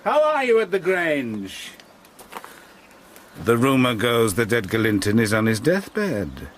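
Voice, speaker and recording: An actor playing a menacing, domineering master of a moorland house, mocking and quick to shout. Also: male voice, mid-range voice, wildly swooping pitch, a little hiss or hum. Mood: sardonic. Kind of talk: radio drama acting.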